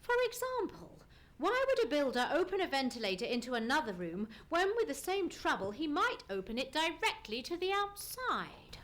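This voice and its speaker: A woman playing a keen, methodical male detective in an old-time radio play, musing and deliberate, humming as she ponders. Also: sits high, big pitch swings, a bit bright. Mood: musing, intrigued. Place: live theatre stage performance.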